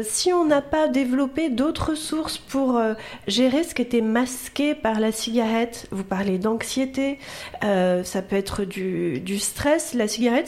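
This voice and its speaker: A woman speaks as a hypnotherapist giving advice on air. Her fairly high voice is thoughtful, and she often repeats words as she looks for the right one. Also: darkish tone. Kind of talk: expert advice on radio.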